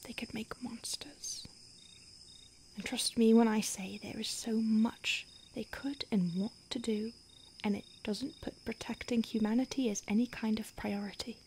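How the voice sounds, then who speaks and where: A soft, breathy whisper carries this brooding female vampire, acted by a woman, starved yet remorseful. Her voice sits slightly high, and she speaks intimately and haltingly, in ASMR roleplay style. Faint crickets and night ambience sit behind her. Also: a little hiss or hum. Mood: grave, hushed.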